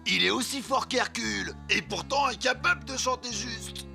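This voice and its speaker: A woman's voice: fairly high pitch, normal speed, creaky. A woman playing an adoring, teasing storyteller in cartoon musical singing. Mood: playful, teasing. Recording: music behind the voice.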